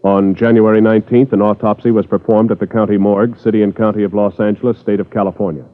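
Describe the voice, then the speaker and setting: A man's voice: on the low side, little pitch movement, fast, over music. An American man narrating a case report formally and impersonally in 1950s radio style. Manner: official, impersonal. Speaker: announcer. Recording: tinny old radio, long reverb.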